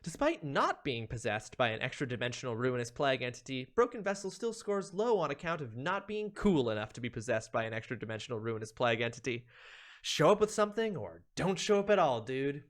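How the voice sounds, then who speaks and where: A man's voice: mid-range voice, sing-song, a little fast. A man hosting a stream, giving a theatrical, emphatic, mock-serious dramatic reading of a humorous ranking list. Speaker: streamer, video host.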